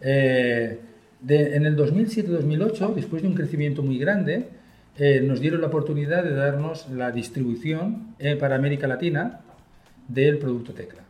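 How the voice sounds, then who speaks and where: A man's voice: fairly high pitch, moderate pace, a little mellow. A man with a Spain Spanish accent, a guest being interviewed, talking fluently and enthusiastically with frequent fillers, in an office. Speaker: guest, software company partner. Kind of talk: conversational interview. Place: office room. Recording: roomy echo.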